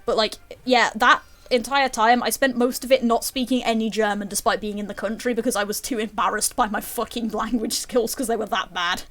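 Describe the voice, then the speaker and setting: A woman's voice: fairly high pitch, fairly even intonation, fairly quick, hardly any pauses, a bit bright. A young woman chatting casually while streaming a game, swearing freely and laughing often. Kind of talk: casual chat while gaming.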